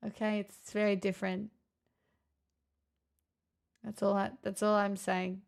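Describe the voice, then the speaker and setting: A woman's voice: mid-range voice, little pitch movement, unhurried, creaky. A woman livestreamer chatting casually with her viewers, playful, teasing and self-mocking. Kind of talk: casual stream chat with viewers.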